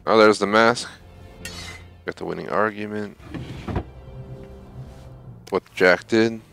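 Young male gamer, low voice, offhand commentary over quiet game music. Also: steady tone, slow pace, gravelly. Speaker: gamer doing a playthrough. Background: game sound and music.

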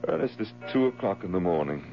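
An actor playing a sensible, concerned gentleman in a 1970s radio drama, reasoning earnestly with his friend. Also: low voice, expressive, quick pace, faint music behind. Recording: muffled vintage radio broadcast with hum.

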